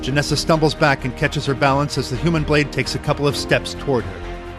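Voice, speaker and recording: A man with a medium-pitched voice narrates an action audio drama. His delivery is tense, energetic and dramatic, with music underneath. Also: fairly quick.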